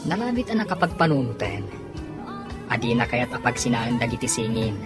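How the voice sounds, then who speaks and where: A woman's voice: extremely low pitch, very animated, fairly quick, over loud music. A woman acting a concerned friend and drinking companion in an audio drama.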